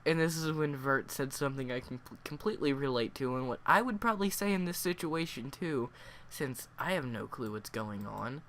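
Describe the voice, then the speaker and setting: A man with a cold, sniffling and hoarse, reviewing a story in a casual, rambling way full of fillers. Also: medium pitch, lively intonation, a little fast, hardly any pauses, a bit bright, slight background noise. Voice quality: hoarse, worn voice.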